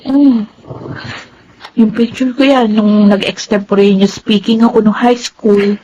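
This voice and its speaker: An actress playing a remorseful, wayward young grown daughter in a Filipino radio drama, with a Filipino accent. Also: female voice, mid-range voice, steady tone, unhurried, dark tone, natural voice. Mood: ashamed, pleading. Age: young adult. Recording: muffled, faintly noisy radio drama recording.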